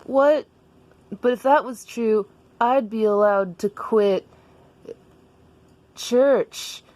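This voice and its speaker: A woman's voice: fairly high pitch, very slow, darkish tone, dry recording, voice pushed up. A woman voicing a timid, earnest schoolboy in a comic story, hesitant and wide-eyed. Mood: incredulous, anxious. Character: timid, earnest, church-bound boy, school age.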